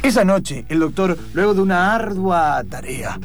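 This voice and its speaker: A man's voice: high voice, expressive, a little slow. An Argentine man narrating improvised radio theatre dramatically, repeating phrases for effect in speech and song. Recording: loud guitar music behind the voice.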